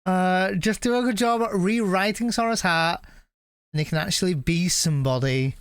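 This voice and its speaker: Low, smooth-voiced woman streaming a game. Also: moderate pace, dry recording, studio quality. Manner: musing aloud, repeating herself. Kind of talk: casual live reaction commentary.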